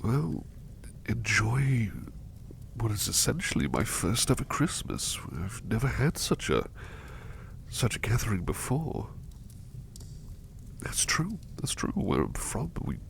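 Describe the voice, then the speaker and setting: A man voicing a sickly young male butler, speaking in a soft, whispery, courteous way close to the mic while resting in bed unwell. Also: fairly low pitch, sing-song, slow, creaky, long pauses, heavily acted. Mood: gentle, grateful. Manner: courteous and formal, hesitant restarts. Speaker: voice actor. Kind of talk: ASMR roleplay.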